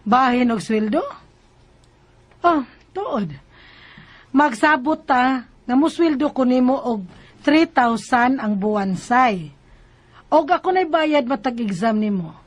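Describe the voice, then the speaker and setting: A bossy lady teacher and employer in a Filipino radio drama. The voice is high-pitched, with a Bisaya accent and an air of authority. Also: lively intonation, slow, pauses often. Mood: businesslike, agreeable. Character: bossy female schoolteacher employer, quick to scold.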